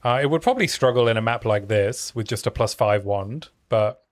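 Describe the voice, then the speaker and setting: A man's voice: medium pitch, fairly quick. A young man with a soothing voice, chatting casually with his viewers as he streams a game. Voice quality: soothing sleep-story voice. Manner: relaxed, chatty, answering chat between plays. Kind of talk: casual live-stream commentary while playing.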